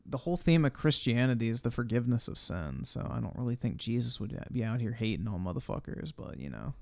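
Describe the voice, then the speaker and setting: A man's voice: medium pitch, big pitch swings, fast, gravelly. A man livestreaming casual reaction commentary in a blunt, profane manner.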